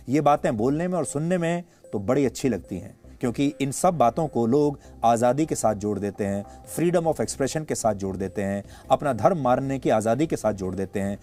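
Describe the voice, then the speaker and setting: A male news anchor with an Indian accent delivering opinionated commentary in a deliberate, emphatic way with rhetorical repetition. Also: medium pitch, dark tone. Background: background music.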